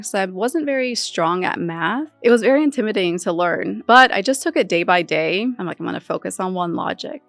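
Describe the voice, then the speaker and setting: A woman's voice: fairly low pitch, no room sound. A middle-aged woman from Iran, a podcast guest talking candidly and with determination about her career change. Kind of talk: casual interview talk.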